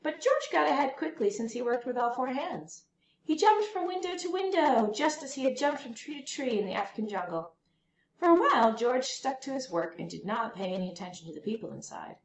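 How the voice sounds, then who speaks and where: Female storyteller for a children's picture book, highish and very lively in pitch, roomy home-style sound. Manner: animated, deliberate phrasing for young listeners. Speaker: read-aloud storyteller. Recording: roomy echo, faint background noise.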